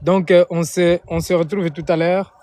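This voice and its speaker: A man's voice: sits high, steady tone, normal speed, dark tone. A man from Angola with an Angolan Portuguese accent, a native Portuguese speaker, preaching and praying informally on a live stream, often repeating and rephrasing his phrases.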